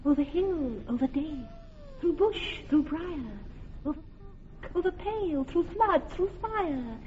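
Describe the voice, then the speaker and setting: A high, airy fairy chanting slowly in an old radio play. Her voice floats in a long hall reverb, with a dull, lo-fi sound and faint hiss. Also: expressive. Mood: lilting. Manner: lilting, chanting. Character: airy, wandering female fairy.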